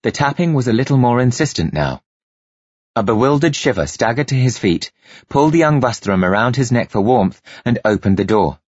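Husky, expressive male audiobook narrator. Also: medium pitch, a little fast, no room sound, highs cut off. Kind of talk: dramatic scripted narration.

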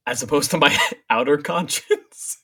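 A man's voice: a little slow, bright, forceful tone, husky, no echo. Dry-humored man co-hosting. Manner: dry, teasing, drops low when joking. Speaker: podcast co-host. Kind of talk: casual podcast chat and interviewing.

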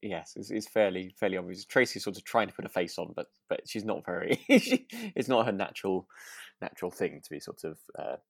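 Male co-host, low voice, dry close sound, thoughtful and a little tentative in casual discussion. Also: brisk, vocal fry, no echo. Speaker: podcast host. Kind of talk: casual chat reviewing a radio drama.

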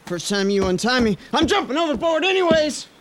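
A man's voice: extremely high pitch, sing-song, a little fast. A man playing a defiant, babbling captive logger in an 1890s audio drama, ranting in agitation. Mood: defiant, agitated. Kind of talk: scripted audio drama acting. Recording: clearly noisy background.

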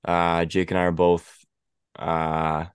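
A young man hosting a casual sports podcast, stuffy from a head cold. His voice sits very low. He talks in halting stretches, restarting often, and the sound is dry and close-miked. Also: completely flat delivery, smooth, dry recording. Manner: halting, many restarts and fillers. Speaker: podcast host.

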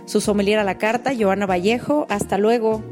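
A woman's voice: mid-range voice, fairly even intonation, a little fast, over music. A woman sommelier presenting a segment, upbeat and talking straight to viewers. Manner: upbeat, addressing viewers directly. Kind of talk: scripted segment.

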